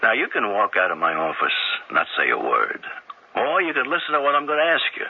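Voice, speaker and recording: Dogged police sergeant, deep husky voice that swings widely, old dull radio sound. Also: male voice, huge pitch swings, exaggerated voice. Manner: coaxing, probing, halting restarts. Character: persistent male police detective sergeant, middle-aged. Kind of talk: 1970s radio drama acting.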